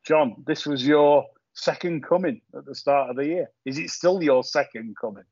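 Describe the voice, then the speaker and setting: A man's voice: on the high side, moderate pace, darkish tone, slightly rough, dry recording, dull audio. A man hosting a horse racing podcast, chatting casually with lots of fillers and repeated words. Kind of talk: casual tipping chat.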